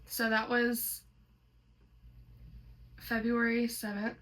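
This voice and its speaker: A woman's voice: fairly high pitch, level tone, slow pace, fairly bright tone, rough recording. A woman reading a horror story aloud as host, slipping between dramatic reading and casual commentary.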